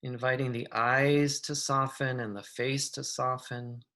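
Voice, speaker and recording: Meditation guide, medium pitch: soft-mannered, soothing, unhurried, leaving long pauses between gentle instructions. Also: little pitch movement.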